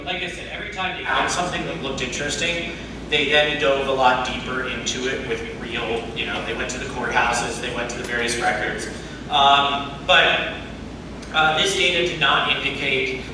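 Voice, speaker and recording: Male tech presenter, hoarse from a cold, explains step by step; echoey room, mic at a distance. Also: mid-range voice, background noise. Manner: explains step by step, many restarts. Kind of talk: technical talk answering questions. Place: meeting room with audience.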